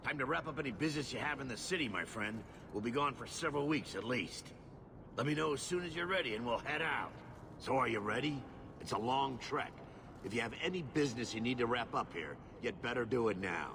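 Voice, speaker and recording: A man voicing a gruff, suspicious, money-minded dwarf expedition boss in a video game, blunt and brusque. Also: medium pitch, animated, fairly quick, background noise, natural voice. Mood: impressed, eager. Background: loud music.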